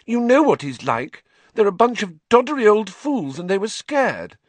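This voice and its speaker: A man voicing a bossy, anxious teenage girl witch in a high, shrill, tearful voice. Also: sing-song, moderate pace, bright, forceful tone, no room sound, heavily acted. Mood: indignant. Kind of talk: audiobook character voice.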